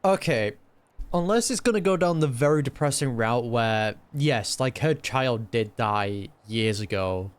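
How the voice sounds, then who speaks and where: Casual male gamer reacting, mid pitch. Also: expressive, a little slow, clean tone. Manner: muttering asides, speculating aloud. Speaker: gaming reaction streamer. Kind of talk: casual live game reaction commentary.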